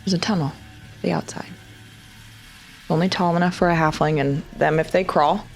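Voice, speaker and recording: A woman speaks casually as a player in a tabletop roleplaying game. Her voice is very low for a woman, with heavy fry, and music runs underneath. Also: fairly even intonation, normal speed. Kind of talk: casual in-character play and describing actions.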